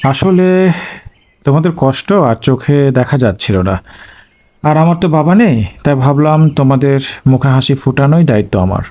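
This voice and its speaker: Man acting a kind young village man, very mellow tone, earnest, pleading, cartoon story. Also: mid-range voice, lively intonation, brisk, lightly acted. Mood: tender. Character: kind, hard-up young village man, a bird-call entertainer.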